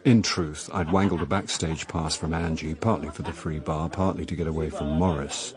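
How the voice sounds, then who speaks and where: An actor playing a wry middle-aged actor turned amateur sleuth, narrating a radio drama in a dry, sardonic way. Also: male voice, very deep voice, steady tone, moderate pace, crowd noise. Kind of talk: radio drama, first-person narration and dialogue.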